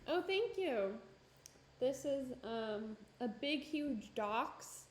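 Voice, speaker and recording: A woman's voice: high-pitched, lively intonation, drawn-out words, a little hiss or hum. A young woman pottery streamer chatting casually with her chat while she works, with lots of fillers and laughs, and her voice starting to go. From Minneapolis–Saint Paul area. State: losing her voice. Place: home pottery studio.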